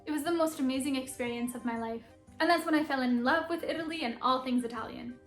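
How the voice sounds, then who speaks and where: An enthusiastic young woman from Philadelphia chats brightly to camera about her channel. Her voice sits high and rings around an echoey room. Soft music plays faintly underneath. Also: fast talker. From Philadelphia, United States. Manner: enthusiastic, bubbly, laughs often. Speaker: vlogger and Italian teacher. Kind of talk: upbeat vlog introduction to camera.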